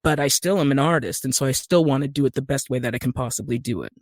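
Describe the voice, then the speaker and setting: An American man speaking conversationally as an interview guest, telling stories in an animated way. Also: medium pitch, fast, no room sound, studio quality. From Utah, United States. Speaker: podcast guest, actor and screenwriter.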